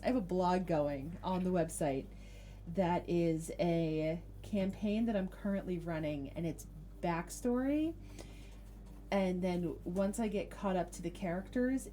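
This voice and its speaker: A woman's voice: fairly low pitch, mellow, a little hiss or hum. A woman chatting casually as a game master telling people about the shows they put on. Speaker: tabletop game master promoting own shows. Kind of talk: casual chat.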